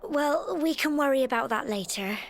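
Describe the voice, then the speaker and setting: A woman playing a spirited, warm-hearted young village heroine in a video game cutscene, with a British accent and an expressive, plucky delivery. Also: high voice, normal speed, a little hiss or hum. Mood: relieved, earnest.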